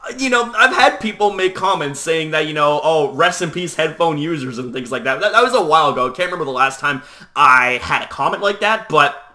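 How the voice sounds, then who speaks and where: A young man hosting a video channel, talking casually to the camera at a deliberately lowered volume, loose and chatty with lots of "you know". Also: animated, sharp tone. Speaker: YouTube host.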